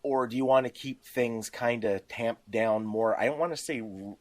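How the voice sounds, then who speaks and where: A man's voice: mid-range voice, moderate pace. A man in casual podcast discussion about tabletop games, explaining things with examples and little acted-out asides. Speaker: podcast co-host.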